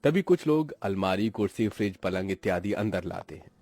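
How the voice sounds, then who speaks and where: A man's voice: deep voice, expressive, moderate pace, a little mellow, no room sound. A man narrating a cartoon family story in a dramatic scripted storytelling style.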